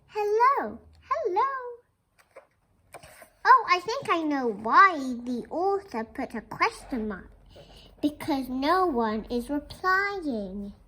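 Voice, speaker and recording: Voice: high voice, slight background noise, low-quality recording. A child presenter reading a picture book aloud expressively, with chatty asides to young listeners. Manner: expressive, sing-song repeated greetings, addresses young listeners.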